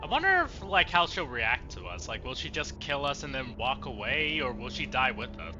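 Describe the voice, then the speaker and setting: A man's voice: mid-range voice, sing-song, moderate pace, music in the background. A man streaming a game with casual let's play commentary, through a dull, lo-fi microphone.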